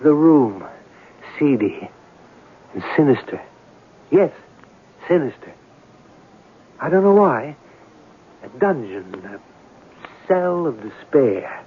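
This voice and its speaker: A temperamental, brooding man of letters in a vintage radio play. His voice is on the high side and very animated, with theatrical insistence. The recording is narrow and tinny. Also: slow pace, long pauses, slightly rough, heavily acted. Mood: frustrated despair. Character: intense, temperamental, self-tormenting male writer. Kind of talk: old-time radio drama acting. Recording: muffled vintage radio broadcast.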